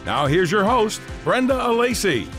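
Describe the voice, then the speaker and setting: A man reading a scripted radio show intro in a slow, drawn-out announcer delivery. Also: high-pitched, big pitch swings, a bit bright. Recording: voice over music bed.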